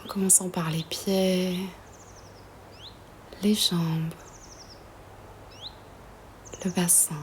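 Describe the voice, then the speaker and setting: Very slow, soft, breathy woman guiding meditation. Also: fairly low pitch, flat delivery, a little hiss or hum. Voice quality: soft, breathy, often half-whispered. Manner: soothing, gentle, long pauses between phrases. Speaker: yoga nidra guide.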